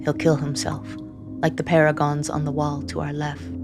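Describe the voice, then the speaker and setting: An actress playing an anxious, devoted sister who narrates a dark fantasy audio drama in a measured, intense way. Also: female voice, low-pitched, completely flat delivery, music in the background. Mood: fearful, worried. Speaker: first-person narrator.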